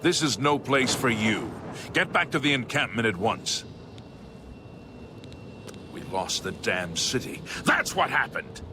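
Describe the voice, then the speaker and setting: An actor playing a weary but determined city guard captain in a fantasy video game, commanding and exasperated. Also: male voice, medium pitch, lively intonation, a little slow, long pauses, background noise, natural voice. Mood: urgent, exasperated.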